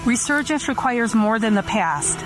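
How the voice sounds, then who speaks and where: A woman's voice: fairly high pitch, expressive, moderate pace, over music, lightly acted. Woman playing a cautious, formal alien officer. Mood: cautious concern. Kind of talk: scripted audio drama acting.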